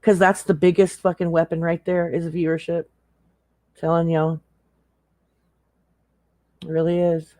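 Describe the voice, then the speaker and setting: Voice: mid-range voice, little pitch movement, measured pace, long pauses, dark tone, no room sound. A livestream co-commentator chatting casually in a blunt, profane way. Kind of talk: casual chat.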